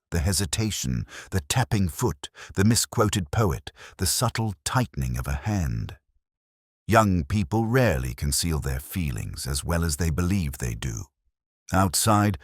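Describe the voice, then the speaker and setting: A man playing a brooding, introspective older police detective, narrating a mystery in a measured, solemn, literary way. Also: sits low, dry recording, studio quality. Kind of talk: scripted first-person mystery narration.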